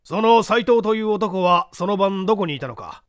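A male reader acts out a stern judge from a mystery story. The voice sits a little high and is recorded dry. He probes bluntly and with authority. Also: expressive, moderate pace, no echo. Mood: stern, probing. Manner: blunt, commanding, probing. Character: stern male examining judge grilling a witness.

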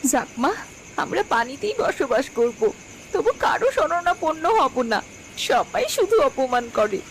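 A woman's voice: sits high, big pitch swings, unhurried, a little hiss or hum, exaggerated voice. A woman voicing a poor but proud young village daughter in a cartoon, speaking with earnest emotion over music. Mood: earnest resolve. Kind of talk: Bengali cartoon fairy-tale acting.

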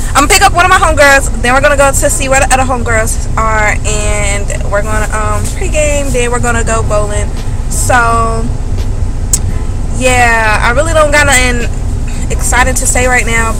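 A young woman vlogging casually from her phone, chatty and slangy, in a car, a dorm and a busy bowling alley. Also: fairly high pitch. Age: young adult. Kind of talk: casual vlog chat. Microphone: phone held at arm's length.

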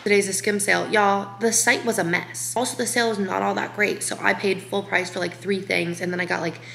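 A young woman in her twenties, vlogging in a chatty, animated, slangy way to a handheld camera. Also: mid-range voice, fairly quick, gravelly, slight background noise. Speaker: lifestyle vlogger. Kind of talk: casual vlog chat to camera. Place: home, car and shops, in and out. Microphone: handheld camera at arm's length.

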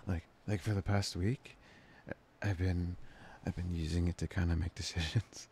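A young man playing a soft, playful, devoted boyfriend in an intimate ASMR roleplay, breathy, hesitant and often near a whisper. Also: sits low, steady tone, normal speed, slight background noise. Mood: teasing, playful.

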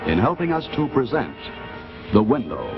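A man's voice: mid-range voice, big pitch swings, normal speed, music in the background. A man announcing in a formal, emphatic, scripted 1950s television credits style. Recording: muffled 1950s television soundtrack.

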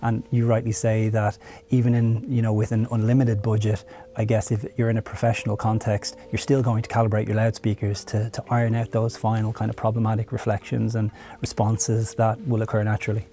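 A man's voice: on the low side, monotone, brisk, dark tone. A man in his thirties hosting a conversational interview and explaining products. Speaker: host, product marketing head. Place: studio room with curtains and audio gear.

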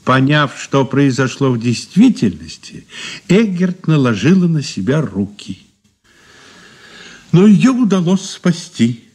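A man's voice: mid-range voice, big pitch swings, slow pace, a little mellow, slightly rough, full character voice. An actor playing a shrewd, ironic old man under questioning in a 1980s Soviet radio play, courteous and condescending. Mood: accusing. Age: older. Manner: courteous, sly, condescending endearments.

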